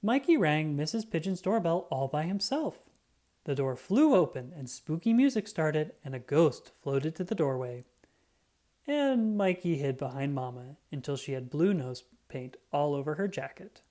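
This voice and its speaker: A woman narrating a children's book in an expressive, scripted read-aloud style, acting out the dialogue. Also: deep voice, normal speed.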